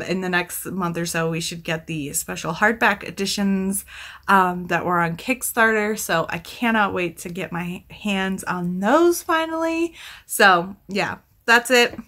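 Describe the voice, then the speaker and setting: Woman in her 30s doing a chatty book vlog, gushing, bright forward voice, throat bit scratchy. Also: lowish voice, slow. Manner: enthusiastic, effusive. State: scratchy, sore throat from talking. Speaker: book reviewer, video host. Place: small indoor room at home with bookshelf.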